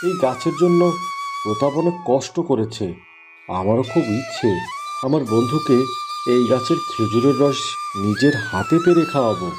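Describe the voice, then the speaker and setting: A man acts out an earnest village farmer from a cartoon folk tale. He sounds wistful and plaintive, and his pitch moves a great deal. There is clear music behind him. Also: huge pitch swings, moderate pace, long pauses. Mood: downcast, longing. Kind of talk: Bengali fairy tale cartoon voice.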